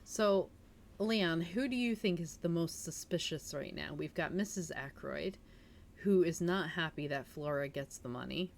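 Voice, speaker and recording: A woman's voice: sits low, a little slow, gravelly, a little hiss or hum. A woman hosting a livestream, chatting informally and reading a story aloud in a giggly, chatty way. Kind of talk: casual livestream chat and audiobook-style reading.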